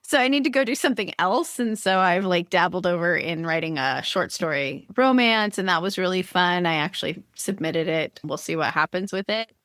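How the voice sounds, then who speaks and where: A woman's voice: lowish voice, moderate pace, few pauses, fairly bright tone, dry recording, clean studio sound. A woman hosting a writing podcast. She asks long, chatty questions with playful asides and plenty of fillers. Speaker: podcast host. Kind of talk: podcast interview conversation.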